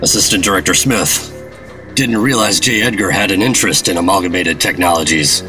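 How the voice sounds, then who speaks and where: Man playing a low-voiced hard-boiled 1950s secret agent. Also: a little fast, music in the background, natural voice. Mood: guarded, formal. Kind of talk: 1950s radio drama acting and noir narration.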